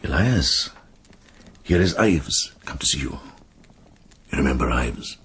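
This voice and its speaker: A British man acting in a radio drama as a shrewd, kindly older medieval monk, deep and gravelly, speaking in a measured, reassuring way. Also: sing-song, a bit bright, full character voice. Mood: gentle, reassuring.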